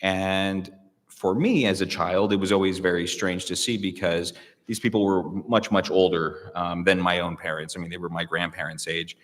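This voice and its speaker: A man's voice: low-pitched, steady tone, moderate pace, a bit bright. A man from the United States, a guest speaker, talking in a reflective, hesitant way with many fillers and restarts in a public interview. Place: public hall. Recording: long reverb of a large room.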